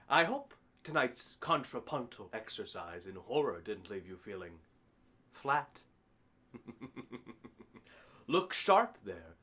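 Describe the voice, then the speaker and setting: An actor playing a macabre, punning horror host in a mock-sinister 1940s radio style. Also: male voice, fairly low pitch, bright, forceful tone, husky, full character voice. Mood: gleefully macabre. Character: macabre, pun-loving horror show host with sinister chuckle.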